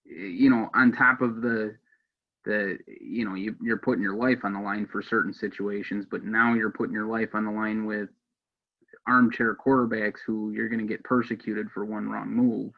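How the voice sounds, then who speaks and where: Even-toned American man, dull lo-fi sound. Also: on the low side, normal speed, creaky. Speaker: police dispatcher, podcast guest. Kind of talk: casual interview talk.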